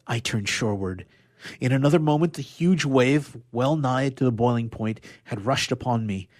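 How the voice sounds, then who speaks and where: A man giving a dramatic reading of classic science fiction, with emphatic, drawn-out phrasing. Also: mid-range voice, a little mellow, no echo, clean studio sound. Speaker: radio player reading literature aloud.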